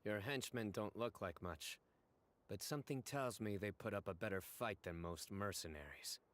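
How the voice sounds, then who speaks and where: An actor playing a stern, reserved official in a video game, speaking in a serious, measured and understated way. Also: male voice, lowish voice, a bit bright, close to an everyday voice. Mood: wary, composed.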